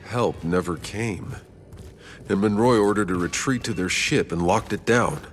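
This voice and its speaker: A weary male veteran voiced by a man tells grim things in a low voice. He speaks heavily and darkly. Loud ambient noise runs under this game scene. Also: unhurried, natural voice. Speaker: game character. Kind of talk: video game cutscene acting.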